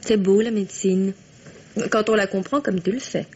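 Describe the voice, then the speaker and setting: An actress playing a tender, inquisitive young adult daughter in an old-style radio drama, earnest and gently questioning. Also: female voice, mid-range voice, steady tone, moderate pace, muffled recording, lightly acted. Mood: tender, probing. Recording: noisy old radio recording.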